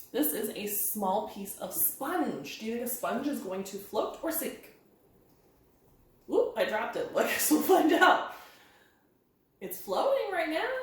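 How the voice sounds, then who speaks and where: A woman leading an interactive children's storytime, speaking in a sing-song, encouraging way and putting questions to young listeners. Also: fairly high pitch. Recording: very echoey, roomy sound.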